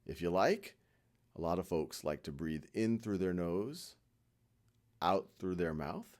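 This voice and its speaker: Low-voiced man gently guiding a meditation. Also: lively intonation. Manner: gentle, reassuring, with frequent pauses. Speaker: guided meditation instructor. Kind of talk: guided meditation, unhurried spoken instruction.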